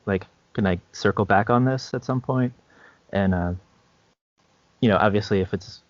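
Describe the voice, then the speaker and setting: A man co-hosting a podcast, chatting casually in loose, hesitant phrases with many pauses. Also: on the low side, no room sound. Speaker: podcast co-host. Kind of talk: casual chat. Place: podcast recording. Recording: dull lo-fi, very dry sound.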